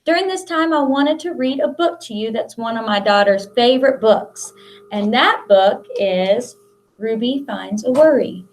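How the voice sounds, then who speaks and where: This is a middle-aged American woman with a high-pitched voice. She talks as a school principal does when reading a picture book to young children. Her intonation is lively and wide-ranging, with simple, deliberately expressive phrasing. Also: soft background music, rough recording.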